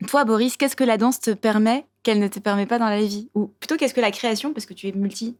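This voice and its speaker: A woman's voice: a little high, fast talker. A woman moderating a panel discussion, asking questions in a friendly way with some fillers.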